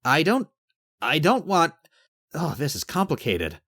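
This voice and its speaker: Flustered young male captain, played by a narrator: medium pitch, animated, honest, hesitant, self-deprecating. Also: measured pace, no room sound, clean studio sound, full character voice.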